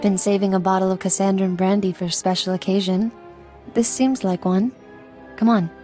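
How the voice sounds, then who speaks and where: Tough outlaw woman, mid-pitched , acted. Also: steady tone, moderate pace, mellow, soft background music, natural voice. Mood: wistful, fond. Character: tough, lonely female outlaw with a soft spot. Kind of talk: video game voice acting.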